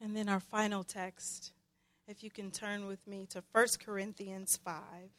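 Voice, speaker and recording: A woman preaching a sermon as a guest, emphatic and given to deliberate repetition for weight. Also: mid-range voice, little pitch movement, fairly bright tone.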